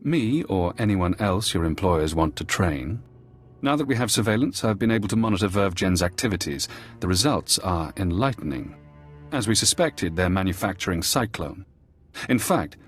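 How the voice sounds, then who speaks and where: An actor playing a calm, measured intelligence operative in a video game, precise and detached in delivery. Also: male voice, on the low side, natural voice. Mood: calm, sardonic. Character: calm, clinical male intelligence operative held captive by conditioning. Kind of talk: video game dialogue acting. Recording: music behind the voice.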